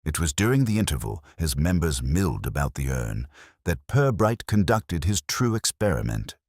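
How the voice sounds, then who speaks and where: A man reading a mystery story as narrator, in an even, unhurried storytelling manner. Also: a bass voice, dry recording, studio quality. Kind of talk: scripted audiobook narration.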